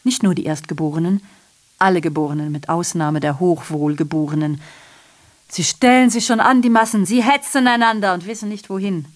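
An actress playing a female messenger in a literary radio drama monologue, recounting atrocity with biting irony in a deliberate, declamatory way. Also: fairly low pitch, natural voice. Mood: grim, subdued.

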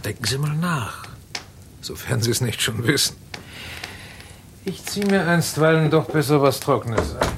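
Male, cool sharp mystery suspect, lively swooping intonation, dry and deliberate, radio crime play, slight hiss. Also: on the high side. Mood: cool, probing. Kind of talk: German radio crime drama acting.

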